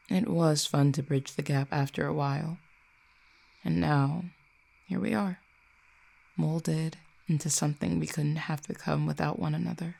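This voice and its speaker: A performer as a gravelly, poised fallen cupid. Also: mid-range voice, darkish tone. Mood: tender, wistful. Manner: formal, eloquent, measured archaic phrasing. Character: ancient fallen cupid, poised, wry, quietly menacing lover. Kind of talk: roleplay audio drama, addressing the listener.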